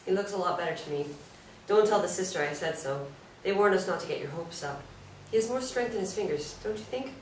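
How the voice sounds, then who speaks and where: A woman's voice: mid-range voice, expressive, fairly quick, lightly acted. A woman in a staged play reading, playing a kindly, frank nurse who speaks confidingly. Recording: roomy stage, distant mic, faint noise.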